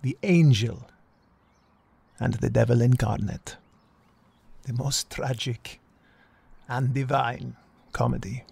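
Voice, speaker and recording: Man playing gravelly, bitter Federico, looking back. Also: mid-range voice, slow, long pauses, dark tone, heavily acted. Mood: bitter, ironic. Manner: grandiose, literary. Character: Federico, bitter, self-loathing, ironic male narrator looking back. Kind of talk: dramatic first-person voice-over.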